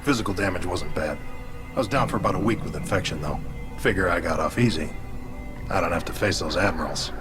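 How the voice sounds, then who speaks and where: A man's voice: deep voice, a little fast, music in the background, natural voice. A man playing a loyal, plainspoken alien soldier in a video game, terse, matter-of-fact and respectful.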